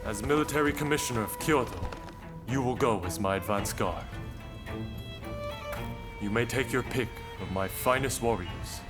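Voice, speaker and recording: An actor playing a shrewd, commanding shogun in a video game cutscene, speaking with deliberate authority over loud music. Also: male voice, mid-range voice, lightly acted. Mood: stern command.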